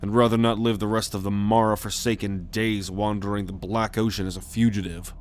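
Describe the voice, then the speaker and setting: A man performs an alien scout captain in a sci-fi ASMR drama. His voice sits low. He gives orders with calm authority and a protective, roguish edge. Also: a little hiss or hum, natural voice. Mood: gruff, resolute. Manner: authoritative, measured, giving orders. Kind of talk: ASMR sci-fi roleplay acting.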